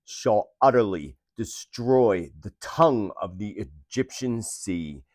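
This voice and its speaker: A man's voice: on the low side, expressive, slow, darkish tone. A man hosting a video, narrating prophecy in a dramatic, emphatic, theatrical way. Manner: emphatic, theatrical, rhetorical questions. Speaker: video host and self-described predictor.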